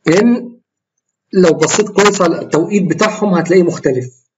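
Egyptian man, tired hoarse voice, quick and rambling, faint background hiss. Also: sits high, highs cut off. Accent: Egyptian Arabic. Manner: rambling, self-correcting, explains while trading live. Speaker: trading tutorial host. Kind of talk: screen-recorded trading walkthrough.

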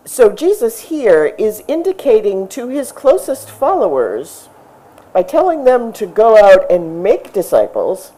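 Middle-aged woman pastor preaching outdoors at a lectern mic; unhurried and measured, with a mellow voice that rises for emphasis. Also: medium pitch, rough recording. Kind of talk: sermon. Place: outdoor tent service in front of a brick church. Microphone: stand mic at a lectern, far camera.

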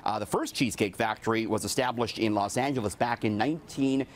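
A man's voice: on the low side, fairly quick, slight background noise. A man in his thirties, a business editor, giving a chatty newscast report from a radio studio. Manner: chatty, joking banter between reports.

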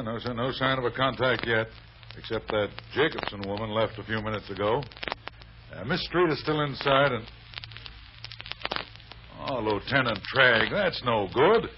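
On a muffled, hissy old radio recording, a male actor plays a dogged American police sergeant. He talks in clipped, slangy bursts at a middling pitch, deferential to his boss but stubborn. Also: measured pace, long pauses, natural voice. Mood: brisk, confident. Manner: clipped tough-cop slang, placating his superior. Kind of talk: old-time radio drama acting. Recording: dull, noisy vintage radio recording.

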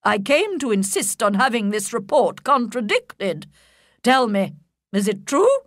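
A woman's voice: a little high, very animated, no room sound, studio quality. A woman putting on a haughty, stiff old aristocrat. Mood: angry. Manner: imperious, slow and emphatic.

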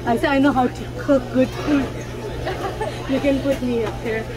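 A woman's voice: sits high, steady tone, moderate pace, heavy background noise. A woman vlogger raised in Bahrain, chatting casually and mixing English and Hindi in a busy ship cafeteria, filmed on a handheld phone. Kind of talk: casual family vlog chat. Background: crowd chatter.

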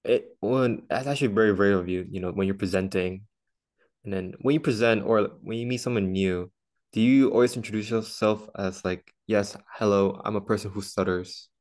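A young man hosting a casual interview over a video call from his living room, asking relaxed, friendly questions. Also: fairly low pitch, moderate pace. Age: young adult. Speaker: host. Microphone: laptop webcam on a video call.

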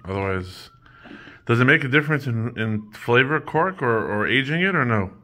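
A man's voice: medium pitch, animated, normal speed, bright, forceful tone. A man interviewing someone casually indoors, informal and thinking aloud as he goes, recorded on a phone. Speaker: interviewer, beverage seller. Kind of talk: casual chat, interview questions. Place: indoor room at a table.

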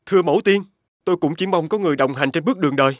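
Man as a higher-voiced, courteous young scholar. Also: racing, over a noisy crowd, close to an everyday voice. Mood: homesick. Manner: polite, formal. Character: courteous, poetic young mortal scholar, homesick wanderer. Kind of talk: animated fairy-tale voice acting.

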